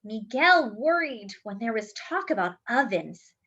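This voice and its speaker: A woman reading a children's picture book aloud in an expressive, careful, teacherly way. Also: fairly high pitch, measured pace, dull audio.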